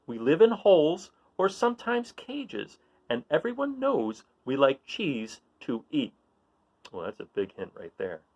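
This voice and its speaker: A man's voice: on the high side, wildly swooping pitch, unhurried, creaky, a little mellow. A man hosting a children's read-aloud, reading a picture book and chatting in an encouraging way, often putting questions to his listeners.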